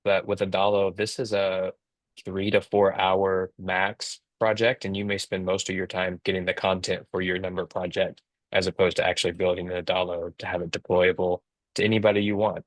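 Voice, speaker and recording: A man with a very low voice gives a casual explanation as a co-presenter. His intonation stays flat and even. The call sound is dry and close, without room echo. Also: normal speed. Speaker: app builder, co-presenter. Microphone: video call.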